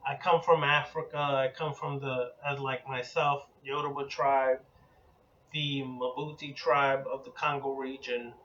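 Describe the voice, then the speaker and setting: A man giving a spoken lecture as an occult teacher, measured and deliberate, building his points in lists. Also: mid-range voice, bright, forceful tone, distant mic, lo-fi sound.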